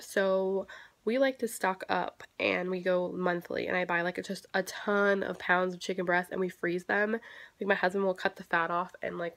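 A woman's voice: mid-range voice, no echo. A young woman chatting casually into her phone camera in a daily vlog, rambling with many fillers and self-corrections. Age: young adult. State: congested, stuffy. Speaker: vlogger. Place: parked car, indoors at home earlier. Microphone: phone camera at arm's length.

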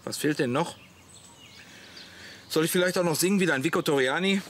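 Actor as grumpy, quick-talking husband. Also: male voice, a little high, a bit bright, slight background noise, natural voice. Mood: defensive. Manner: grumbling, sarcastic, blunt. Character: grumpy, stubborn married father at a campsite. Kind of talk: German comedy radio play acting. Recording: clear outdoor ambience with animals behind.

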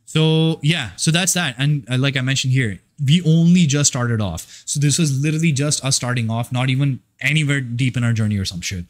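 A man's voice: mid-range voice, a little fast. A man who works as a fitness and life coach, giving a casual motivational monologue in a rambling, profane and emphatic way.

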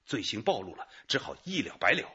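A man's voice: medium pitch, sing-song, a little fast, sharp tone, breathy edge, lo-fi sound, full character voice. An actor playing an earnest junior male detective explaining things to his chief in a radio drama. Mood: earnest, reporting. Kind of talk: Chinese radio drama acting.

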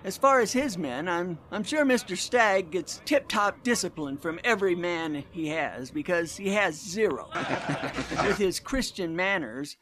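An actor playing a cocky, wisecracking 1920s American college football coach in an audio drama, blustery and sarcastic. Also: male voice, sits high, animated, unhurried, background noise. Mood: mocking, irritated.

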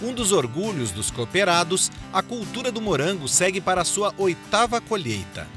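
A man reading a scripted news voice-over about farming, with a measured delivery. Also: high voice, sing-song. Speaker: reporter narrator. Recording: voice-over with guitar music behind.

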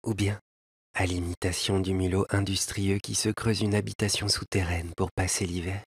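Man reading as a sad exiled elf, soft lyrical pleading, low voice, very husky. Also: flat delivery, normal speed, dry recording. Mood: wistful. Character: lovelorn exiled male elf, gentle and sorrowful. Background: faint piano.

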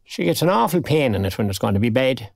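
An older retired man, with a Cork accent and a husky, gravelly voice. He speaks mildly and a little hesitantly, with quiet dignity, in a naturalistic audio drama. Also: medium pitch, very animated, quick pace, no echo, clean studio sound. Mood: mild, matter-of-fact. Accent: Irish, County Cork.

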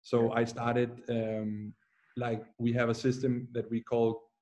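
A young man from Denmark with a Danish accent, a footballer answering interview questions calmly over a video call, pausing often. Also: mid-range voice, dull audio. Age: young adult. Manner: calm, measured, with many "uh" pauses. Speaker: guest, professional footballer. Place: plain room at home.

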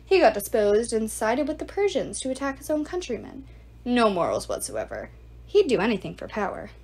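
An arrogant male ghoul sneers and mocks those around him, as a woman reading aloud puts him on. His voice sits fairly high and swings widely in pitch. The delivery drips with contempt. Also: sing-song, a little fast, darkish tone, husky, heavily acted. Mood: sneering. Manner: mocking, contemptuous.

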